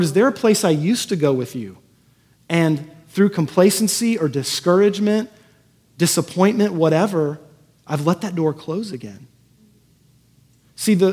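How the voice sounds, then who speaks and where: Husky male preacher in a reverberant hall. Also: sits high, a little mellow. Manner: earnest, emphatic repetition. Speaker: pastor. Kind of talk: sermon, conversational preaching to a congregation. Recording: echoey hall with long reverb.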